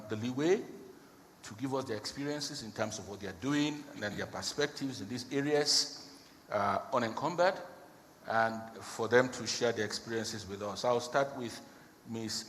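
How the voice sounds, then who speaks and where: A man's voice: medium pitch, fairly bright tone. A man moderating a conference panel, speaking firmly and setting out his questions one by one. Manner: firm, deliberate, lists questions one by one. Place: large conference hall. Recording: reverberant hall, faint background noise.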